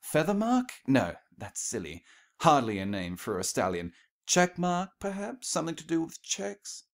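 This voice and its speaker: A man's voice: a little high, huge pitch swings, moderate pace, gravelly, pitched above the performer's own voice, heavily acted. A man voicing an elegant, refined female unicorn in a storybook reading, with a genteel, affected manner. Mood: musing. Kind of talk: storybook character voice in fan fiction reading.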